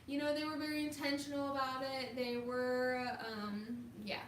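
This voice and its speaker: A young woman in her twenties co-hosting a casual live chat, talkative and quick to laugh. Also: high voice, little pitch movement, a little hiss or hum. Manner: chatty, laughs often. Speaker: co-host. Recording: echoey room, mic far off.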